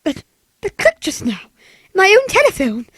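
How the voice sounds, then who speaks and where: An actress playing a frightened, demanding invalid wife alone at night in a radio thriller, with an imperious, nervous delivery. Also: female voice, extremely high pitch, wildly swooping pitch, moderate pace, fairly bright tone, no room sound, exaggerated voice. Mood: alarmed. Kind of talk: old-time radio thriller acting.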